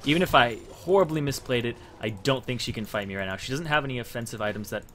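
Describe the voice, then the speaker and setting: A man's voice: mid-range voice, fast, a bit bright, slight background noise. A young man giving casual, explanatory gameplay commentary, thinking aloud as he plays. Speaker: gamer making a strategy guide. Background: faint game music and sounds.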